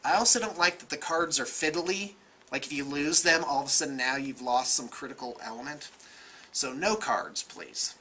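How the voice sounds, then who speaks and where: An American man in his forties giving casual, off-the-cuff opinions in an animated, conversational way. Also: a little high, moderate pace, breathy edge. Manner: animated, gesturing, conversational, self-qualifying. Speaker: vlogger, miniatures shop owner. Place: indoor room with bookshelves.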